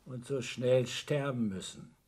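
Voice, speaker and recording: An actor playing a talkative, matter-of-fact family doctor in a radio play, with a sighing, platitudinous way of talking. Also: male voice, medium pitch, unhurried, noisy background, natural voice. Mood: sociable commiseration. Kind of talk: 1960s German radio play acting.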